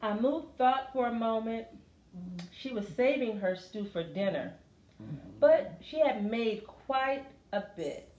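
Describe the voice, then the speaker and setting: A woman reading a children's story aloud expressively, pausing to put questions to her listeners. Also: on the high side, expressive, darkish tone, highs cut off. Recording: roomy, echoey sound.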